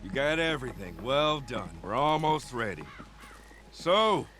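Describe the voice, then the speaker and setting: An actor playing a determined American outlaw organiser in a western video game, plain-spoken and giving instructions. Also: male voice, mid-range voice, sing-song, unhurried, fairly bright tone, faint music behind. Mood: satisfied, resolute. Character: rugged, determined Old West outlaw gang organiser.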